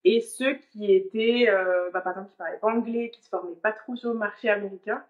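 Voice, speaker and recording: A woman's voice: mid-range voice, steady tone, fairly quick, phone-quality sound. French woman in her thirties, interview guest on a video call, hesitant with fillers, roomy echo. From France. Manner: thoughtful, many fillers. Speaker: guest, online business manager. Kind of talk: casual interview answers.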